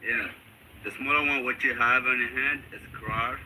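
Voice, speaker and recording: A man's voice: medium pitch, steady tone, moderate pace, low-quality recording. A man from Ethiopia casually explaining things as a guest on a live stream. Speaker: live-stream guest showing his instruments.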